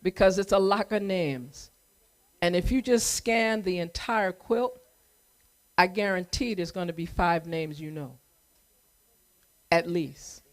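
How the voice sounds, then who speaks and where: A woman hosting a community remembrance event, speaking publicly in a deliberate, emphatic manner. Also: lowish voice.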